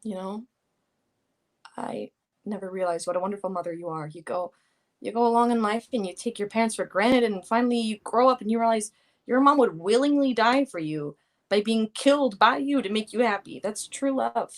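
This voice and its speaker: A woman's voice: fairly high pitch, moderate pace, lightly acted. A woman playing an edgy, anxious daughter in a live play reading, speaking in a hesitant, earnest ramble. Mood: tender. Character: anxious, edgy daughter confessing violent urges.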